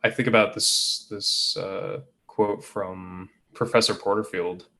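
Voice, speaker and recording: A man's voice: lowish voice, fairly even intonation, slow, bright, forceful tone. A man from the United States, co-hosting a casual podcast in an easygoing way. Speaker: podcast co-host.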